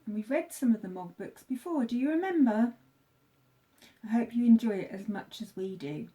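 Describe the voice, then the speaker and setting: A woman teacher reading a children's story aloud, with expressive, deliberate phrasing for young listeners. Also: fairly high pitch.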